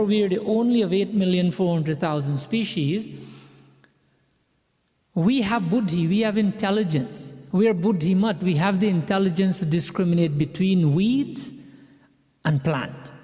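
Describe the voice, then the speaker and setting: A man's voice: sits high, normal speed, warm tone, long reverb tail. A man from Guyana leading a satsang, preaching in a conversational way with personal stories and frequent restarts. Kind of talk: sermon.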